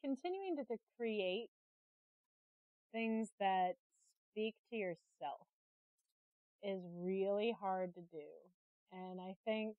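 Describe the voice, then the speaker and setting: A woman talking casually and candidly as an interviewed artist, chatty, expressive and a little self-deprecating. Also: medium pitch, slow, long pauses, no room sound, phone-quality sound. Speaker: interviewed artist and creative director. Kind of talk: casual, candid podcast conversation.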